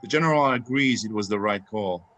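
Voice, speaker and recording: A man's voice: on the low side, brisk, a bit bright, slight background noise, close to an everyday voice. A man playing a dutiful army sergeant at a command post in a screenplay table read, reporting formally and plainly. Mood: matter-of-fact.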